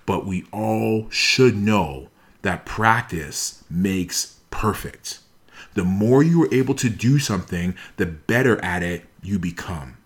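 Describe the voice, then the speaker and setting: A man with a fairly low voice delivers a motivational talk. He speaks emphatically, circling back to key phrases and repeating them to press them on the listener. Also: lively intonation, takes it slowly.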